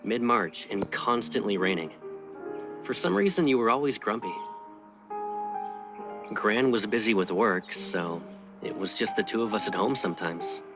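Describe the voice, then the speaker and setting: Man acting young male pilot, protective childhood friend, playful teasing, unhurried, romance game dialogue, prominent background music. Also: lowish voice, lively intonation. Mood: wistful, tender. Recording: music behind the voice.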